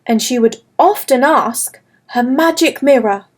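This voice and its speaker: A woman narrates a classic fairy tale for children in a slow, careful, gentle reading. Her voice sits high and the pace stays unhurried throughout. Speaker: storyteller. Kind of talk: scripted children's story narration.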